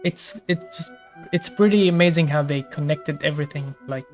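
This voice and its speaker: A woman with a low-pitched voice comments casually as she plays a video game. Her remarks are informal reactions, with game music heard behind her. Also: moderate pace, darkish tone.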